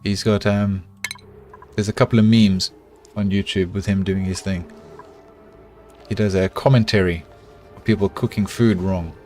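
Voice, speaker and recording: A middle-aged man with a South African accent talks his way through a game, reading and answering his chat in a loose, chatty way. His voice sits a little low. Faint game music plays underneath. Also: normal speed, pauses often. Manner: relaxed, chatty, talks to himself while building. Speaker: game streamer. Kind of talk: live gameplay commentary with chat.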